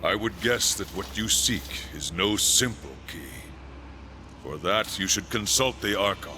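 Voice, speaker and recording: Deep-voiced noble angel warrior. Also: male voice, lively intonation, unhurried, bright, forceful tone, slight background noise, full character voice. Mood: grave, steadfast. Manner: formal, earnest. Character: seasoned male angel warrior, steadfast, noble guardian. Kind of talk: dramatic game cutscene acting.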